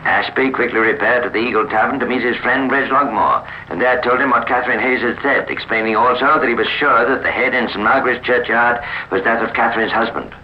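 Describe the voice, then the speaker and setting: Formal male narrator, dull vintage sound. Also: medium pitch. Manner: formal, measured storytelling. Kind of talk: scripted 1950s radio crime narration. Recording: dull, noisy old radio recording.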